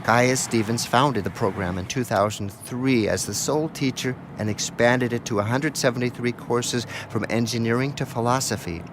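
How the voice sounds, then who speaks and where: A man's voice: mid-range voice, moderate pace, few pauses. An American man reading a first-person scripted documentary narration. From United States. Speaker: narrator, radio documentary author. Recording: clear outdoor and car background noise.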